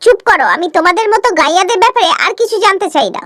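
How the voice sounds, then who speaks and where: A woman's voice: extremely high pitch, lively intonation, very fast, a bit bright, no room sound, clean studio sound. A woman voicing a snobbish, scolding middle-aged mother-in-law in a cartoon. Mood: scornful. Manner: haughty, scolding.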